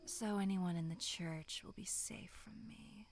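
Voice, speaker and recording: A woman voicing a bloodthirsty female demonic monster in a breathy, menacing whisper for a horror ASMR roleplay, sinister yet tender. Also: medium pitch, fairly even intonation, a little hiss or hum. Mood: quietly persuasive. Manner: slow, drawn-out, menacing whispering.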